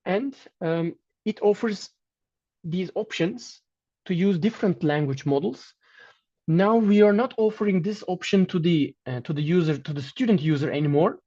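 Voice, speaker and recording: Guest lecturer, male, Turkish native speaker, fairly high voice, measured delivery with restarts, dull lo-fi sound. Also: mellow. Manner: measured, with frequent restarts and fillers. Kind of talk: online academic lecture with slides.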